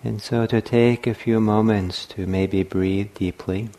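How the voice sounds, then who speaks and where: Slow, low-voiced man guiding meditation. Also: steady tone. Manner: gentle, unhurried, with long pauses between short phrases. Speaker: meditation teacher. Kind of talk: guided meditation.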